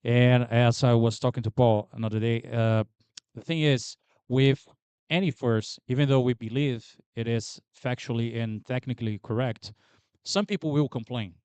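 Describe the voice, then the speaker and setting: Loud man speaking on a panel. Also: medium pitch, fairly even intonation, normal speed, clean tone, no echo, dull audio. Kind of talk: panel discussion.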